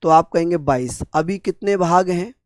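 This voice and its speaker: A man with a sore, hoarse throat teaching an exam-prep class, repeating each term and answering his own questions. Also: high voice, normal speed, no echo, clean studio sound. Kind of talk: online exam-prep lecture.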